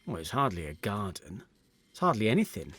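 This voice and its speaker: A man's voice: fairly low pitch, very animated, a little fast, fairly bright tone, husky, exaggerated voice. An actor playing a troubled, conscientious man stuck in a dead-end job, in an audio drama with first-person narration. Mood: quiet, insistent.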